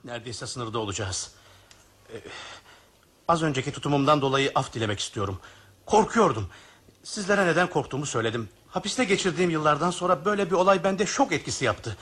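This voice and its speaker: A rather high, husky male voice, expressive and earnest, a remorseful former prisoner. He speaks confessionally, with fear and conscience, in radio drama style. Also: heavily acted. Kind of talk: radio theatre acting.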